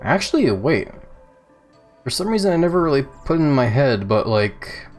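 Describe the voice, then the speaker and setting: A man streaming a video game, chatting casually with his viewers as he plays, with chuckling asides and a slightly rough throat. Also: medium pitch, very animated, moderate pace, darkish tone. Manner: chatty, reads and answers chat while playing. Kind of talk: casual let's-play commentary. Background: faint game music.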